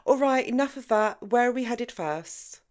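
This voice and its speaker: A woman's voice: on the high side, animated, normal speed, voice pushed up, close to an everyday voice. Woman acting a headstrong, breezy wife. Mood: assertive. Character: headstrong, breezy married woman seeking fun.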